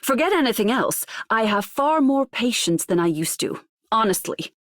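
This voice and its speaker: A woman's voice: on the high side, very animated, moderate pace, fairly bright tone, no echo. Wry woman medic in a dry recording. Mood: weary, fond. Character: overworked, wry but fond female medic. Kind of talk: scripted game voice lines.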